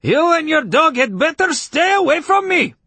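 Shrill, blustering irate neighbor. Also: male voice, sing-song, moderate pace, dry recording, heavily acted. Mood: outraged yelling. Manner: spluttering, shouting, peremptory. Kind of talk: audiobook character acting.

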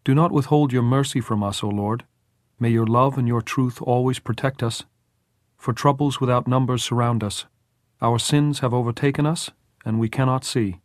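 A man playing a solemn mourner reciting scripture in precise, measured, pleading lines for an audiobook. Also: mid-range voice, fairly even intonation, dry recording, clean studio sound, voice pushed up, close to an everyday voice. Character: solemn man reciting a psalm over a grave.

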